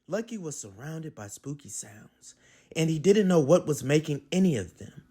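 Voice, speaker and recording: A man reading a children's storybook aloud as host, in an expressive, dramatic storytelling manner. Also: fairly high pitch, moderate pace, breathy edge.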